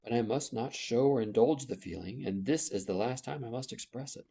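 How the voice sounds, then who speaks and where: A man voicing a quiet resolute young governess. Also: medium pitch, vocal fry, breathy edge. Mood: firm. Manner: quiet, measured and firm. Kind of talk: audiobook character reading.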